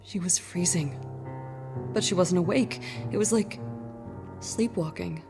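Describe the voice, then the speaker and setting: An actress playing a worried townswoman in a video game drama, speaking in a grave, earnest, measured way. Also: fairly low pitch, over music. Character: worried townswoman keeping vigil over a sick loved one.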